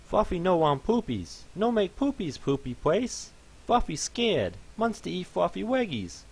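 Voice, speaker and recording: A man doing a squeaky baby-talking pet creature. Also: big pitch swings, unhurried, vocal fry, breathy edge, full character voice. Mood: terrified. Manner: baby talk, broken speech. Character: tiny whimpering childlike female pet creature.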